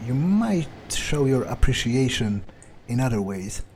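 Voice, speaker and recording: Man playing a slow, mellow, callous young vampire. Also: mid-range voice, big pitch swings, a little hiss or hum. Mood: cold, callous. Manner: musing, hesitant, dismissive. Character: callous, cynical young male vampire, foul-mouthed loner. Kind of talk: in-character game playthrough monologue.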